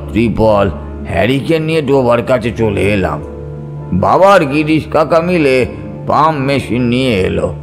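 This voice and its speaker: Slow-paced male story narrator. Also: fairly low pitch. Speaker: audiobook narrator. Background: soft background music.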